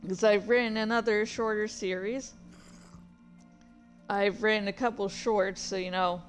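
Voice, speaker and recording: Woman streamer narrating their fanfic, takes it really slow, casual asides, bit of music behind. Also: medium pitch, steady tone. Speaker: streamer reading their own fan fiction aloud.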